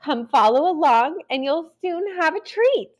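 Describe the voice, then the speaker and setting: A woman's voice: high-pitched, animated, a little slow, voice pushed up. A woman voicing a plucky gingerbread girl cookie in a storybook, with teasing, sing-song rhymes. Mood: teasing, gleeful. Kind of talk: storybook read-aloud.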